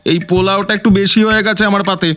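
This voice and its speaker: A man's voice: sits high, flat delivery, rapid-fire, fairly bright tone, clean tone, faint music behind. An actor playing a gluttonous, bumbling young son-in-law in a comic audio drama, whining and stammering as he feigns refined manners. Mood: flustered, polite.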